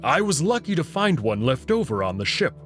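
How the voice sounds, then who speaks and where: A man voicing an awkward, evasive male alien wolf who talks haltingly in a visual novel. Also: on the high side, big pitch swings, faint music behind. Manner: hesitant, halting.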